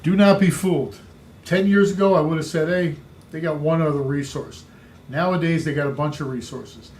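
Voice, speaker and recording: Male sales trainer, booming voice, slightly high pitch, emphatic repeating delivery, faint room noise. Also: steady tone, moderate pace, a little mellow. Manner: emphatic, repetitive coaching delivery. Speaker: real estate sales trainer. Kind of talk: instructional podcast talk.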